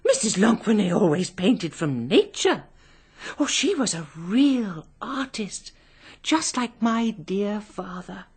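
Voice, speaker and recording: An actress playing a nervous, chatty, genteel middle-aged English spinster companion in a radio drama, with a prim, effusive manner. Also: female voice, medium pitch, very animated, slow, a little mellow, breathy edge, full character voice. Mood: earnest, admiring.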